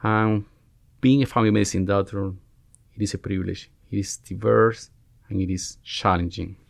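A man in his forties with a Cuban Spanish accent, a resident doctor being interviewed on a podcast, who often pauses and restarts as he searches for words. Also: fairly low pitch, steady tone, creaky, no room sound, studio quality. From Cuba. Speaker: guest, family medicine resident.

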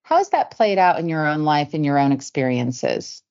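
Podcast host, middle-aged woman, lowish voice, measured and thoughtful, slightly muffled lo-fi sound. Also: very animated, darkish tone. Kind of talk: podcast interview. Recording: muffled lo-fi audio.

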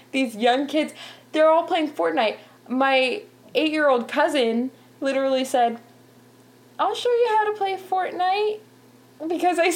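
A young woman from Canada, hosting a show in casual chat, talking freely with lots of fillers and laughter. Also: high voice. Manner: chatty, laughs often, many "like" fillers. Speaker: podcast host.

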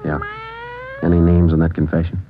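An actor playing a terse, steady police sergeant who narrates a 1950s radio crime drama in a clipped, matter-of-fact way. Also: male voice, low voice, a little fast, slight background noise, lightly acted. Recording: muffled old radio broadcast with music.

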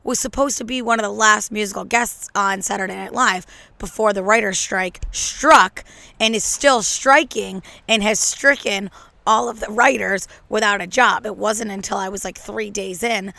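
A slightly hoarse woman hosting a casual solo podcast from home, talking into a microphone with a brash, joking, rambling manner. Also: medium pitch, moderate pace, pushed and bright, dry recording. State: a little hoarse. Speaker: podcast host. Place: at home on a couch.

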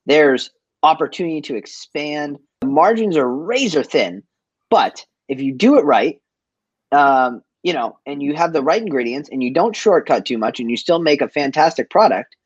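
A man's voice: on the high side, expressive, normal speed, husky, dry recording, highs cut off. A man from Boston in a casual interview, telling stories as a guest in informal, slangy speech peppered with "like". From East Boston, USA. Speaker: interview guest, chef and business owner. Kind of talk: casual conversational storytelling.

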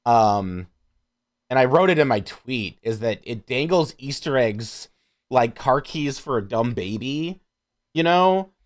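A man's voice: medium pitch, slow pace. A man chatting casually and profanely about films as a podcast guest, peppering his talk with "like". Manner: animated, profane, frequent "like". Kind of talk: casual film discussion.

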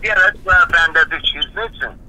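Halting bright-voiced man in his fifties. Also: fairly high pitch, rough recording. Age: 50s. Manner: halting, stammering, repeats himself. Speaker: person being questioned on a phone call. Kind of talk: casual phone conversation.

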